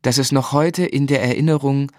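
Radio drama narrator: man as articulate, affectionate gentleman telling his life story, medium pitch, dry studio sound. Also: steady tone, normal speed, dry recording, close to an everyday voice. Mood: admiring, reflective.

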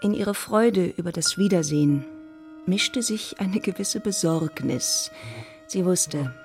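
A composed storyteller narrates a period novel for radio. Her voice sits a little low. Soft music runs faintly underneath. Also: slow pace. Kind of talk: scripted literary narration for radio drama.

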